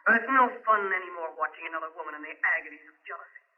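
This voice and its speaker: An actress playing a proud, world-weary femme fatale in melodramatic 1940s radio drama, theatrical and intense. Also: female voice, on the low side, very fast, highs cut off, close to an everyday voice. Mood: bitter, ashamed. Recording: band-limited old radio recording with music.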